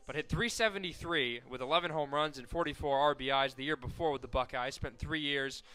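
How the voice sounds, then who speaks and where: An American man calling live softball play-by-play as a radio announcer, conversational and ready to lift with the action. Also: a little high, brisk, clear, resonant tone, low-quality recording. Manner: conversational between plays, excited calls on action.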